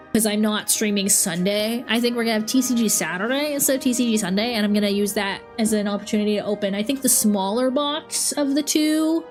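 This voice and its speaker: A sick, sniffly young woman rambling and giggling in casual livestream chat at home. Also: fairly high pitch. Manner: rambling, giggly, self-interrupting. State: sick with a cold. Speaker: streamer.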